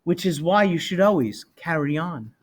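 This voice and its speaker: A man's voice: fairly high pitch, animated, moderate pace, breathy edge. Man reading as a patient, loving storybook mother. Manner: tender, patient. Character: loving mother telling her son a bedtime tale.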